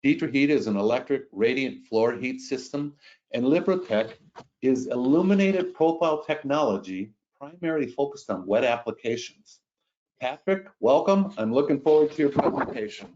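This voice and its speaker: A man's voice: mid-range voice, a little hiss or hum. Guy with a head cold hosting a webinar, stuffed up, lots of uhs, muffled audio. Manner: relaxed, halting, many uh fillers. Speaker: webinar host.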